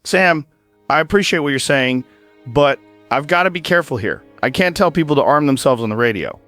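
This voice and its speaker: An animated radio host. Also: male voice, on the high side, soft background music. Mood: calm. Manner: steady, measured, hosting tone. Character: male late-night radio host taking calls. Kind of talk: audio drama acting.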